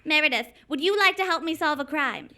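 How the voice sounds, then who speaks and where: A woman's voice: sits high, expressive, fairly quick, pushed and bright. A woman playing a scatterbrained, cheerful wife turned amateur detective in the style of 1940s radio comedy, earnest and confidently illogical. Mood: eager.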